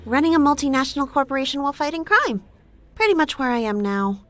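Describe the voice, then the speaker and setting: A woman's voice: high-pitched, animated, quick pace, dry recording. A woman playing an exasperated, capable superheroine in an audio comedy, speaking with sarcastic indignation.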